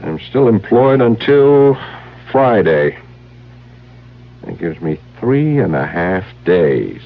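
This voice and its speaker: An actor playing a stubborn, wry American government investigator in a 1970s radio drama, with punchy, sardonic delivery. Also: male voice, sits low, very animated, slow pace, gravelly, heavily acted. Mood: wry, resigned. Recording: muffled 1970s radio recording, faint hiss.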